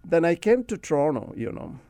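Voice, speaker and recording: A man's voice: on the high side, big pitch swings, brisk, vocal fry, dark tone, no echo. A middle-aged man with a Bangladeshi accent, chattily telling personal anecdotes in an interview and often adding "you know". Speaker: interview guest, academic researcher. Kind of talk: casual storytelling in an interview.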